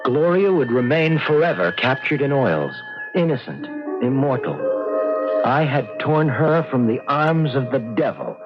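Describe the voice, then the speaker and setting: A creepy pious artist killer in an old radio play, dreamy n self-righteous, voice swoops around, loud music, muffled sound. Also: male voice, mid-range voice, very animated, a little slow. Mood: haunted reverie. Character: deluded soft-spoken serial killer painter, pious and obsessive. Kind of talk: radio drama acting, first-person narration.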